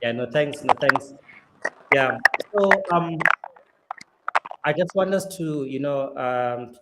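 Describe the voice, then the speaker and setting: A man hosting a casual, unscripted interview in a halting, hesitant way, often repeating himself. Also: mid-range voice. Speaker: host, interviewer. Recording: loud background noise with clicks.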